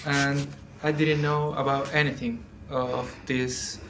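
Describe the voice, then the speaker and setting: Flat-voiced man from Chile. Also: medium pitch, slow pace, slight background noise. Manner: searching for words, hesitant. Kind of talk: casual discussion.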